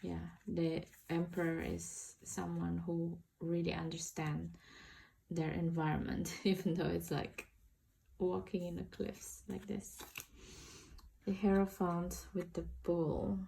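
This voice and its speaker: Slow, creaky-voiced Indonesian woman. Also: lowish voice, little pitch movement, mellow, slight background noise, low-quality recording. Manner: hesitant, halting, searching for words. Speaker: tarot deck reviewer. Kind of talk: casual unboxing and flip-through commentary.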